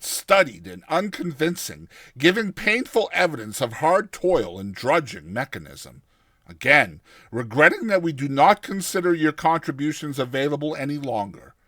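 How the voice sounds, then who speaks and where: A man voicing a formal, regretful male magazine editor with a stiff, measured way of talking in a dramatized story. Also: fairly high pitch, a bit bright, no room sound, studio quality, exaggerated voice.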